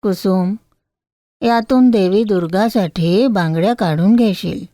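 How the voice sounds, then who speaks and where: A woman voicing a humble, devout village bangle seller man in a storybook, slow and earnest. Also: medium pitch, mellow, no echo, studio quality, natural voice. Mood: calm. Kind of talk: storybook character voice.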